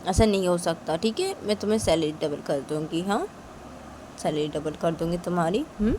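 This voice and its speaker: A woman's voice: lowish voice, expressive, brisk, warm tone, a little hiss or hum, pitched below the performer's own voice, lightly acted. A woman voicing a wealthy mother who is a firm boss, questioning sharply and reassuring her employee. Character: strict wealthy mother, female boss, firm but kind.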